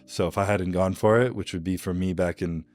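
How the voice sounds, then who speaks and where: A young man with a very low voice speaks quickly and casually. His telling rambles, one clause running into the next. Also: steady tone, a little mellow. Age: young adult. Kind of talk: casual conversational storytelling.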